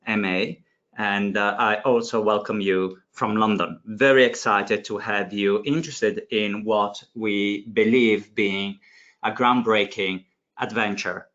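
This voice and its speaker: A man in his forties talks slowly while introducing himself as a course leader. He restarts his sentences and fills the gaps with hesitations. The audio is dull and lo-fi. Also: lowish voice, steady tone, fairly bright tone. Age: 40s. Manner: halting, frequent restarts and fillers.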